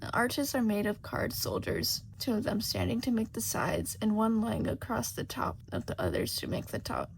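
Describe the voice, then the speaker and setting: A woman's voice: a little high, wildly swooping pitch, normal speed, vocal fry, hardly any pauses. A woman reading a scripted story aloud as an amateur audiobook narrator. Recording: faint background noise.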